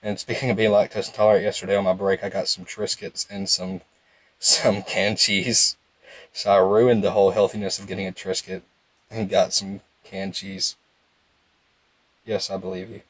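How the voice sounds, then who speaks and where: A young man with a calm, low voice, giving casual, rambling game commentary in a laid-back monotone. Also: moderate pace, dry recording, low-quality recording. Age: young adult. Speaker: gaming video host.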